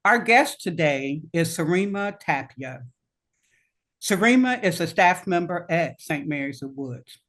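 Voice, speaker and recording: A woman from Indiana hosting a podcast interview, reading a scripted introduction in a measured, welcoming way. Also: on the low side, lively intonation, fairly bright tone. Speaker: podcast host.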